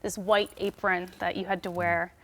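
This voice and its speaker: A woman's voice: fairly low pitch, level tone, a bit bright, poor audio. A woman in her thirties from Armenia, a native Armenian speaker, chatting cheerfully as an interview guest. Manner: upbeat, chatty, quick to laugh. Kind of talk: casual interview chat.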